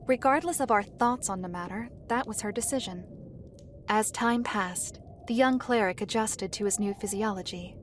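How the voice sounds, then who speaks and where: A woman playing a composed storyteller of dark lore, measured, formal and authoritative, in an audio drama. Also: mid-range voice, a little hiss or hum, lightly acted.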